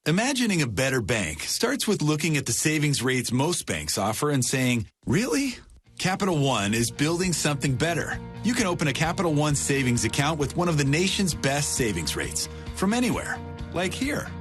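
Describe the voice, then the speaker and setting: A man's voice: on the high side, big pitch swings, moderate pace. A man reading a scripted bank commercial for radio as an announcer. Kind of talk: scripted advertisement read. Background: music.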